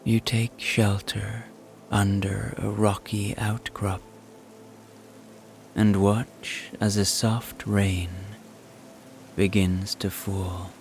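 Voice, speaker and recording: Very slow, low-voiced male meditation guide. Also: little pitch movement, creaky, soft background music. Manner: soothing, hushed, gentle. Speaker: guided relaxation narrator. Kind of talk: scripted guided meditation narration.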